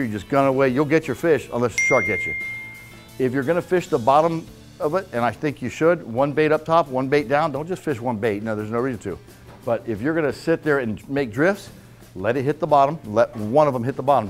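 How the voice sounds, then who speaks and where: A man in his fifties giving a casual, blunt fishing seminar in a club meeting room, checking in with "okay?" as he goes. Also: mid-range voice. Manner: blunt, folksy, repeats "okay?" to check listeners. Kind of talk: casual hands-on fishing talk.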